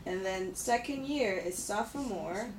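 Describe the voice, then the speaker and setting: A woman's voice: medium pitch, expressive, a little slow. A woman in her thirties teaching English to a class in a classroom, speaking patiently and checking in with her students often. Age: 30s. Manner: patient, checks in with "okay?" and "right?". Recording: echoey room, distant mic, background noise.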